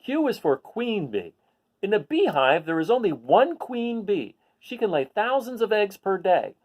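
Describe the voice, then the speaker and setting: A man's voice: high voice, big pitch swings, unhurried. A man reading a children's picture book aloud, adding casual asides and putting questions to his listeners. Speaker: read-aloud host.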